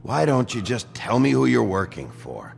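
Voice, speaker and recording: An actor playing a gruff, dogged detective in a video game, terse, dry and controlled as he presses a suspect. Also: medium pitch, huge pitch swings, moderate pace, background noise, full character voice. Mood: firm, probing.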